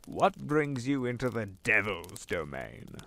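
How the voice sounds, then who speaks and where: An actor playing an ancient, suave devil posing as a gentleman, genteel and condescending in an audio drama. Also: lowish voice, very animated, takes it slowly, gravelly, a little hiss or hum, full character voice. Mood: genial. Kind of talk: fan audio drama acting.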